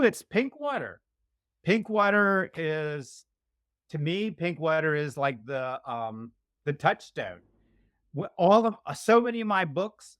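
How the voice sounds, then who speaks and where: A man's voice: fairly high pitch, a bit bright, no room sound, clean studio sound. Rambling, joking man on a video call. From Shenandoah Valley, Virginia, US. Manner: rambling, self-deprecating, quick humor. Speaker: guest author. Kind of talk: casual interview chat.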